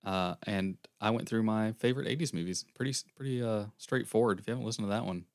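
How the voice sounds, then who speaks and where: A young man co-hosting a casual podcast, slightly hoarse as he loses his voice, rambling through a recap with fillers. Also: fairly low pitch, fast, no echo, clean studio sound. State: losing his voice, airy. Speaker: podcast co-host. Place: small podcast room.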